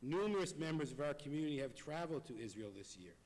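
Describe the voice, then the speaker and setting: A hoarse man delivering a prepared, earnest appeal to a congregation in a synagogue. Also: fairly high pitch, lively intonation, a little mellow. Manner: earnest, measured, reading from notes. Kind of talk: prepared speech. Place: synagogue sanctuary. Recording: long hall reverb.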